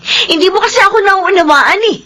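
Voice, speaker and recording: A woman's voice: very high voice, fairly quick, sharp tone. A woman playing a long-suffering, aggrieved middle-aged Filipina wife and mother in a radio drama, plaintive and emotional. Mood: weary, aggrieved. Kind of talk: Philippine radio drama acting.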